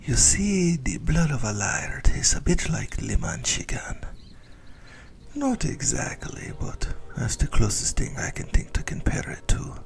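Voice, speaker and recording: A man playing a possessive, doting ancient vampire in a breathy, whispered ASMR roleplay, teasing and intimate as he savors his words. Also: medium pitch, big pitch swings, measured pace. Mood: relishing, intimate. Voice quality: breathy whisper with gravelly creak.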